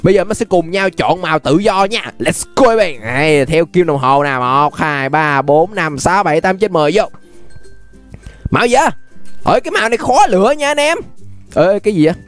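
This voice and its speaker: High-pitched young southern Vietnamese man, gaming commentator. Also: fairly quick, soft background music. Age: young adult. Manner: animated, exclamatory, repeats phrases. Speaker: gaming YouTuber, host. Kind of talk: excited gameplay commentary. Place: indoors at a gaming setup. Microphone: desk microphone close to the face.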